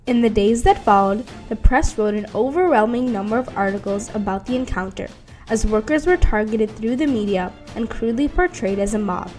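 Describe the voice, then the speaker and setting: A woman's voice: mid-range voice, animated, a little fast, a little mellow. A woman reading scripted documentary narration. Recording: music under the voice. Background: background music.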